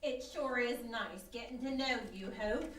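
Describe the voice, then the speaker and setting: A woman playing a chirpy, easily distracted retired schoolteacher in a radio comedy, sweetly preachy and excitable. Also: a little high, measured pace, lightly acted. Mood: sweetly earnest. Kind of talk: old-time radio comedy acting before an audience. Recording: roomy live stage, distant mic.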